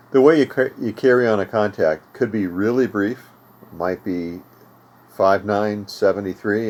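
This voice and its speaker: An older American man speaks in a deep, low register as he teaches informally. His explanations come in measured, halting stretches with pauses between thoughts. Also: darkish tone. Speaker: host of amateur radio tutorial series. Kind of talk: informal instructional talk. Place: home radio room.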